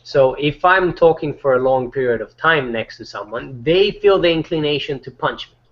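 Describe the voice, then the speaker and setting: A man talking casually in a group chat, rambling with many fillers and restarts; he says his voice is not deep. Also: expressive, normal speed, dull audio.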